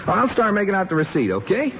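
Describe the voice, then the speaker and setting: Folksy american guy selling a car, smooth talker, higher pitched, old movie sound a bit dull. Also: huge pitch swings, fast, full character voice. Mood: persuasive. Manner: persuasive, folksy. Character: smooth-talking, casual man selling a used car. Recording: muffled vintage film soundtrack, faint noise.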